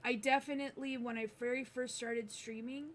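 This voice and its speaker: A woman hosting a live stream, chatting casually in a relaxed, rambling way. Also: fairly high pitch, a little fast, a little hiss or hum. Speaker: streamer host.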